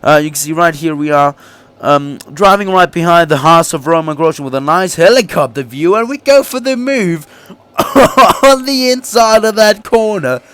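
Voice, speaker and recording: A man's voice: fairly high pitch, normal speed, fairly bright tone, clean tone, no echo. A young man who says he is sick, chatting through his racing game career race, laughing often and restarting his sentences. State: sick, voice a little off. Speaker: gaming YouTuber.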